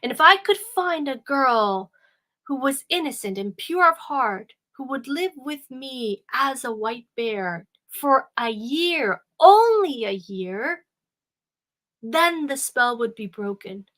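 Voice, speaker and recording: Lady storyteller doing a cursed prince guy, pleading, high and bright, drags his words out. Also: lively intonation, slow, full character voice. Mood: earnest.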